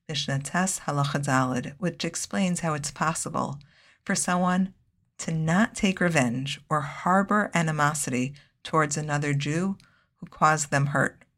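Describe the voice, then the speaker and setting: A low-voiced woman delivers a scripted Torah lesson. She speaks in a measured, earnest way and drops in Hebrew phrases naturally. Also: a bit bright. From United States. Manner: measured, earnest, with Hebrew and Yiddish-style terms. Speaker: Torah teacher.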